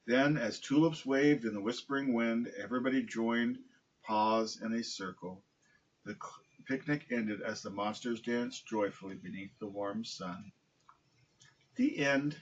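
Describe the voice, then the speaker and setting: A man with a husky voice reading a children's picture book aloud. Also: mid-range voice, unhurried, highs cut off, slight background noise. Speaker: storybook reader, dog owner.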